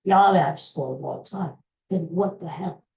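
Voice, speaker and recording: A woman's voice: lowish voice, normal speed, vocal fry, a little mellow, lo-fi sound. A woman hosting a podcast on her own, giving rambling, folksy life advice with many restarts and calling her listeners folks. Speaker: podcast host. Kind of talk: casual solo podcast monologue.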